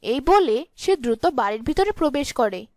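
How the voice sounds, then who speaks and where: Lady narrating a fairy tale, high voice, scripted storytelling, dry recording. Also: sing-song, moderate pace, dry recording.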